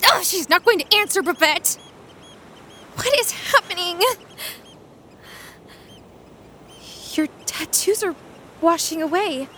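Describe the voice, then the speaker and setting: A woman playing a tender, guilt-ridden young fantasy adventurer with a halting, anxious, caring delivery, in an audio drama. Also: very high voice, animated, pushed and bright, slight background noise, heavily acted. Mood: frightened. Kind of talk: audio fiction drama acting.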